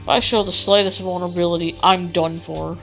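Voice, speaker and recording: A woman's voice: lowish voice, steady tone, brisk, vocal fry, a bit bright, poor audio. A young woman let's player reading visual novel text aloud, expressively and with the odd stumble. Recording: game music behind the voice. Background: video game music.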